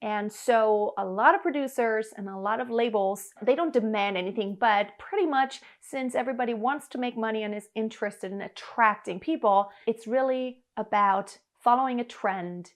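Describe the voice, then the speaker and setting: Encouraging woman vocal coach, a bit high. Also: moderate pace, few pauses. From Germany. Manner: earnest, encouraging, animated with her hands. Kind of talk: direct-to-camera singing lesson. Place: living room in front of an upright piano.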